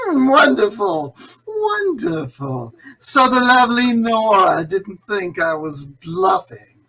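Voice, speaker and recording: A suave, scheming jailed crime boss from a 1940s radio melodrama, acted out by a performer. The voice is husky and slow, sliding widely in pitch. Every phrase is savored with theatrical, gloating condescension. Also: sing-song, pushed and bright, full character voice, poor audio. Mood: gloating, mocking.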